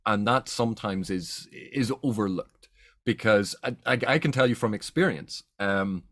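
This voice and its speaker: A man's voice: lowish voice, moderate pace, fairly bright tone, dry recording, studio quality. A man from Northern Ireland with a Northern Irish accent, hosting a book discussion in an explanatory, emphatic way. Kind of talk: animated literary discussion.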